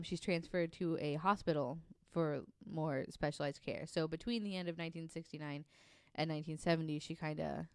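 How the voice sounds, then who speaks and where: A woman's voice: low voice, smooth, no echo, studio quality. A woman co-hosting a podcast, casually telling a true story with lots of ums and likes. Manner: chatty, many ums and likes, laughs easily.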